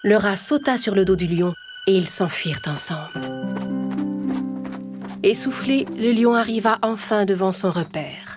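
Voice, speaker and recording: A woman's voice: medium pitch, measured pace. A woman narrator reading a scripted animal fable for children in storytelling style. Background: music.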